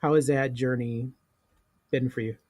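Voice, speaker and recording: A man hosting a podcast who asks questions plainly and calmly, with some fillers. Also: mid-range voice, a little slow, dry recording. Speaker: podcast host, interviewer. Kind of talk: casual interview questions.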